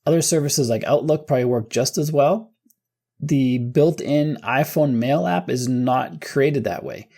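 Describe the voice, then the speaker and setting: Gravelly, husky tech tutorial host. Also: medium pitch, unhurried, mellow. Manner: conversational, informal, thinks aloud. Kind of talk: casual step-by-step screen tutorial.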